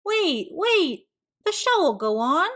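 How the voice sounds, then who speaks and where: A woman reading a storybook, voicing an excitable boy showman who calls out like an announcer. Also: very high-pitched, slow, pitched above the performer's own voice, heavily acted. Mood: urgent. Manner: calling out like an announcer.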